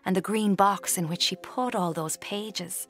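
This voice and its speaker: An actress playing a brooding, watchful young woman who narrates her own story in an intimate, deliberate way. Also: mid-range voice, a bit bright, soft background music. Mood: curious, intent. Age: young adult. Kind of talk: scripted first-person radio drama narration.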